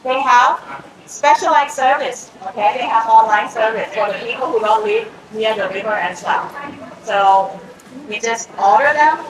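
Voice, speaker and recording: A woman's voice: on the high side, a little slow, a crowd in the background. A woman with a Thai accent who is a cooking instructor. She explains steps to a class and often checks in with "okay?". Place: cooking school and market, indoors and out. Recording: echoey, distant, with background noise.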